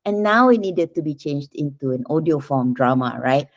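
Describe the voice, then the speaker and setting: A woman's voice: low voice, lively intonation, normal speed. A woman. She explains her work as a writer in a reflective interview style, often checking her points with short tag questions. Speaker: writer interviewed about adapting a short story.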